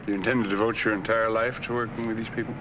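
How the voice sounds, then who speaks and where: An actor playing a wealthy, lonely middle-aged man in a 1940s film, polite, gentle and well-spoken. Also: fairly low pitch, level tone, quick pace, lightly acted. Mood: earnest. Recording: hissy 1940s film soundtrack.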